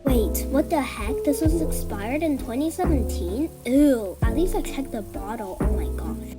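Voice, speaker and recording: High, sarcastic teasing girl acted by a woman, loud music. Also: animated, unhurried. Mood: chatty, disgusted. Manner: exclamatory, slangy, sarcastic. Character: girl sleepover guest, teasing, bossy, easily exasperated. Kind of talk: amateur toy-app role-play voice acting.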